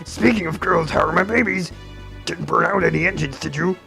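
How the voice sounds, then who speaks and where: A man voicing a gruff, blustering older airship engineer from a video game, gravelly, folksy and blunt. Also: fairly high pitch, a little fast. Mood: gruff scolding. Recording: loud game music behind.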